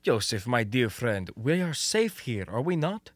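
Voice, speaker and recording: A man's voice: mid-range voice, big pitch swings, a little slow, no room sound. A young man acting in a radio comedy as a confident, scheming who coaxes in wordy, stilted foreign phrasing. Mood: reassuring. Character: scheming, confident Russian male defector posing as an American. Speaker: student actor.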